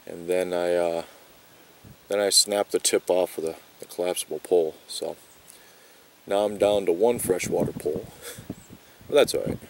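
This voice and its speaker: Guy in his 30s vlogging from a kayak, lowish voice, lots of uh's, wind hitting the mic. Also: vocal fry, low-quality recording. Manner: relaxed, rambling, frequent "uh" fillers. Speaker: outdoor vlogger. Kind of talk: casual outdoor vlog. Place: outdoors on a pond in a kayak. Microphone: camera close at arm's length. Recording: wind noise outdoors.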